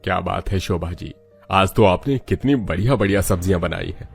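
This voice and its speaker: A man playing an easygoing, hungry middle-aged father in a cartoon, with a husky voice. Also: low voice, fairly quick, soft background music, exaggerated voice. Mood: pleased, hungry.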